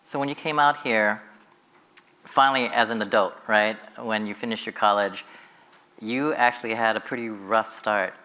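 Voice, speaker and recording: A man interviewing a guest on stage asks his questions haltingly, often repeating words. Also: lowish voice, sharp tone.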